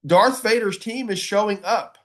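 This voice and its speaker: A man speaks in a fairly high register. He presents like an advocate rallying a group, folksy in his wording and emphatic, restating key phrases to drive them home. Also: normal speed, a bit bright. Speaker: advocacy speaker giving a webinar talk.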